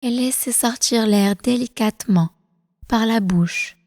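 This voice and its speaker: A woman leading a guided relaxation meditation as instructor, calm and soothing, with slow, deliberate phrasing. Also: a little high, dry recording, studio quality, big-room sound. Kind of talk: guided prenatal meditation, yoga nidra.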